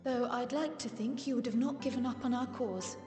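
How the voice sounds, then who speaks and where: Female actor as young scholar, high voice, poised, formal, confident, game cutscene, long echoing reverb. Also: fairly quick, lightly acted. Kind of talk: video game cutscene dialogue. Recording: reverberant hall, faint music behind.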